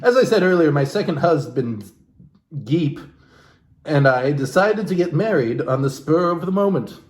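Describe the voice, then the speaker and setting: A man's voice: on the high side, expressive, normal speed. A man reading a book aloud in a mocking, irreverent way, with casual, sweary asides. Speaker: reader and host of a comic book reading. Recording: roomy echo with background noise.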